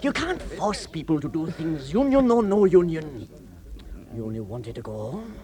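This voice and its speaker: An actor playing a miner who firmly reproaches a comrade. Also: male voice, on the high side, big pitch swings, normal speed, warm tone. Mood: reproachful. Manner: firm, reproachful, pleading.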